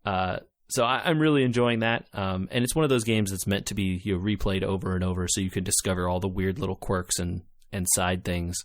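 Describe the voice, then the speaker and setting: A man co-hosting a podcast, chatting casually about video games and retelling things in an animated way. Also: low voice, a little fast, few pauses, no room sound. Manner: animated retelling, acting out quotes, laughing often. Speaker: podcast co-host.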